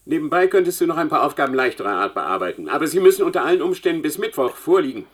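An actor playing an imperious, demanding dean of mathematics in a German science fiction radio play, with a pompous, condescending manner. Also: male voice, a little high, sing-song, rapid-fire, husky. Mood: stern, demanding.

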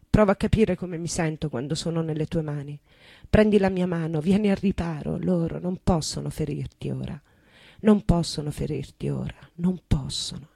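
A woman performing a dramatic poetic monologue as a passionate, defiant American rock poet, tender and intense, with deliberate repetition. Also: sits low, vocal fry, warm tone, slightly rough, dry recording, full character voice. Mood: tender, yearning. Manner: slow, deliberate, intense delivery with repeated phrases. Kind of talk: dramatic monologue and poetry reading over guitar. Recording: live guitar music behind the voice. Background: guitar playing.

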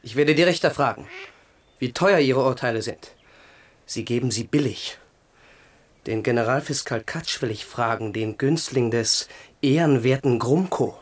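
An actor playing a bitter, cultured young crown prince in a radio play, declaiming with theatrical irony. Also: male voice, medium pitch, moderate pace, natural voice. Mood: biting sarcasm. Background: music.

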